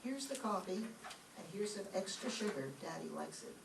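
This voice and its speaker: A woman plays a polite, dutiful schoolgirl in an old-time radio comedy. She sounds far from the mic in a roomy space. Noise is clearly heard behind her. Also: mid-range voice, animated, moderate pace. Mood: polite, innocent. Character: polite, dutiful teenage schoolgirl, principal's daughter. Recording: distant echoey stage recording, clear background noise.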